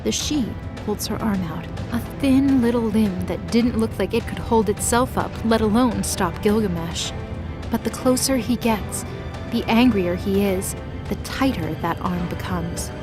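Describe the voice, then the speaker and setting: A woman's voice: mid-range voice, a little slow, music in the background. A woman giving dramatic scripted narration for a mythology audio story.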